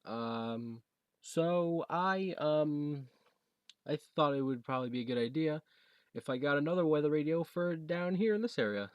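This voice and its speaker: Young man, American accent, mid-pitched, casual unboxing chat, halting and rambling, dry acoustics. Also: no echo, muffled recording. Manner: halting, rambling, reads box text aloud. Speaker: video creator showing a gadget. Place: basement room at home.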